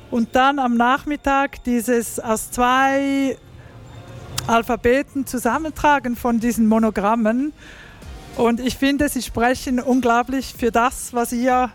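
A woman, a youth project leader giving an earnest speech to participants, often searching for words. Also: high-pitched.

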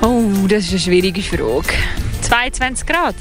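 A woman's voice: medium pitch, expressive, measured pace. A woman with a Swiss German accent chatting as an interviewed visitor outdoors beside a lake. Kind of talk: casual street-style interview answers. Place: outdoors at a lakeside. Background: music.

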